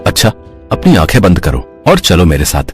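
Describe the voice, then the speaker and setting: A man's voice: low-pitched, huge pitch swings, moderate pace, soft background music. A man acting an easygoing young man of the household in scripted story dialogue, coaxing and familiar. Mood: tender. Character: easygoing young men of a household, husband and brother.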